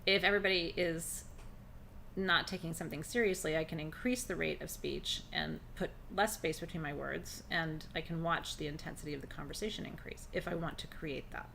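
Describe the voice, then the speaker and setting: A middle-aged woman with a naturally low voice teaching a relaxed, conversational class on spiritual practice, pacing her words deliberately. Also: gravelly, slight background noise. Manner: conversational, intentional pacing, laughs often. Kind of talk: live online class on shamanic drumming.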